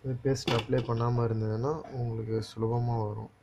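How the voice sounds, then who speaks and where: A man's voice: medium pitch, level tone, normal speed. A man giving a casual, hands-on repair tutorial, instructing step by step and often checking that the listener follows. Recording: dull lo-fi audio with faint noise.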